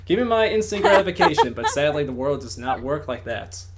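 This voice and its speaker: A man hosting a casual online interview, wordy and animated, who swears freely. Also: a little high, a little fast, over a noisy crowd.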